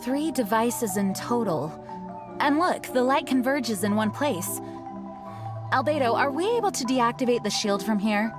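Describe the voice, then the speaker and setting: A woman's voice: a little high, lively intonation, a little slow. A woman voicing a composed, caring young knight commander in a video game. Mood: composed, focused. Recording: clear music behind the voice.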